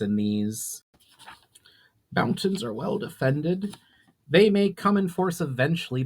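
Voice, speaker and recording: A man voicing a courteous, earnest elf envoy with formal wording in a fantasy story. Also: mid-range voice. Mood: earnest warning.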